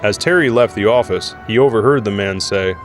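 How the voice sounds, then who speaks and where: A man's voice: lowish voice, brisk, soft background music. A man narrating a scripted audio drama in the role of storyteller.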